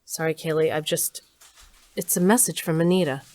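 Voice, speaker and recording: A woman's voice: low voice, moderate pace, a little mellow, slight background noise, lightly acted. An actress playing a sardonic, weary older woman scientist who narrates her own story in a dry way. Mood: wry, exasperated. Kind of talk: first-person audio drama narration.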